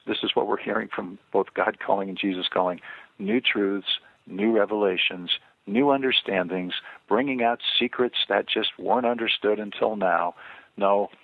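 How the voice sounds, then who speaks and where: Older man, radio guest, earnest, fillers and pauses, husky voice, tinny phone line. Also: lowish voice, no room sound. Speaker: author and interview guest. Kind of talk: radio interview, earnest commentary.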